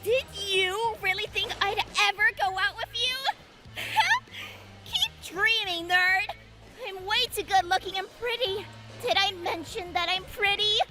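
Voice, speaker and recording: Woman acting a very high-voiced vain mean girl. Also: a little slow. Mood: mocking, haughty. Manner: haughty, mocking. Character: vain mean popular girl, haughty bully. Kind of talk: character demo reel acting. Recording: music under the voice.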